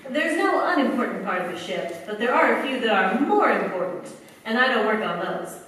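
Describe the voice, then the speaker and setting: A woman's voice: fairly high pitch, big pitch swings, a little fast, a bit bright. Woman acting a chatty flustered wartime factory girl in a radio play, far from the mic, big hall reverb. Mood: candid. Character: earnest, flustered young American factory worker woman, chatty. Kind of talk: staged 1940s-style radio play acting. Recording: echoey hall, distant stage mic, faint noise.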